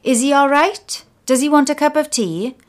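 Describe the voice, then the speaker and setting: A woman voicing a loud, stern woman bystander who gives orders with great authority. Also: sits high, moderate pace, pitched above the performer's own voice, natural voice. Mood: commanding. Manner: loud, commanding.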